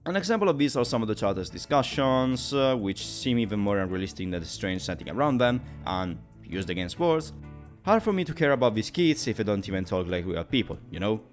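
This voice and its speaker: A man narrating a scripted video game review in an analytical, opinionated and wordy manner. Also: on the low side.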